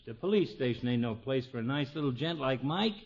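An actor playing a brash, wisecracking but soft-hearted regular guy, folksy and slangy, in a 1950s radio comedy. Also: mid-range voice, expressive, brisk, natural voice. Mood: sympathetic. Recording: muffled vintage 1950s radio recording.

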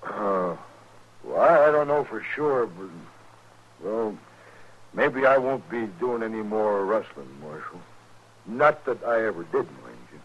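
An actor playing a sheepish, folksy cattle rustler who talks haltingly in a 1950s radio western. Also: male voice, on the low side, expressive. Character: sheepish male cattle rustler, grudgingly grateful. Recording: dull, noisy 1950s radio recording.

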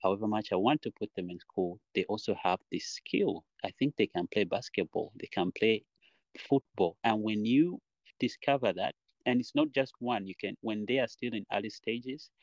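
A young man from Uganda talks through his thoughts in a podcast interview, with a very low voice. He rambles reflectively and fills pauses with "you know". The sound is dry and a bit dull. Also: no room sound. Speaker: podcast guest, dancer and choreographer. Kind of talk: casual interview talk.

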